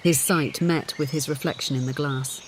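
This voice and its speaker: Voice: mid-range voice, steady tone, normal speed, a little hiss or hum. An audiobook narrator reading a scripted story in the third person.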